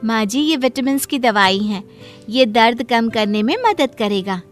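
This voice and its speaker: A woman's voice: on the high side, expressive, a little slow, soft background music. A woman voicing a sly, sweet-talking younger daughter-in-law in a moral cartoon story, with showy politeness. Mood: sweet, eager.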